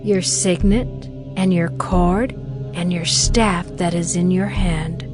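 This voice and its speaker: A slow, shrewd young widow. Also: female voice, fairly low pitch, steady tone. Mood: cool bargaining. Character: Tamar, veiled young widow, shrewd and bargaining. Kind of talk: dramatized scripture audio acting. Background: music.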